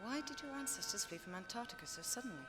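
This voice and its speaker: A cool, sardonic adventurer, played by a woman, talking in a dry, deadpan and clipped way, with a lively rise and fall. Game music runs beneath her voice. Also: on the high side, fast talker, natural voice. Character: cool, sardonic female adventurer, poised and in command.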